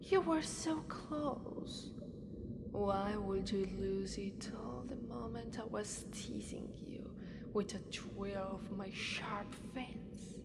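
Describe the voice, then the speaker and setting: A woman with an Italian accent voicing an obsessive, seductive mermaid in a teasing, breathy ASMR roleplay. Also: medium pitch, heavy background noise. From Italy. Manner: slow, teasing, breathy half-whispers.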